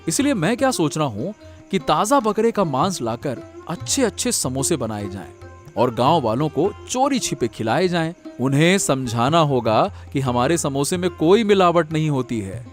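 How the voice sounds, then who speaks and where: Man acting an earnest, animated village samosa seller. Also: a little high, normal speed, faint music behind. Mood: scheming, hopeful. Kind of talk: Hindi moral-story cartoon voice acting.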